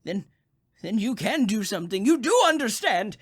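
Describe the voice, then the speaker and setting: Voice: mid-range voice, very animated, normal speed, husky. An actor playing a crotchety, nervous old male invalid who stammers insistently on the telephone, in old-time radio suspense style. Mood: anxious.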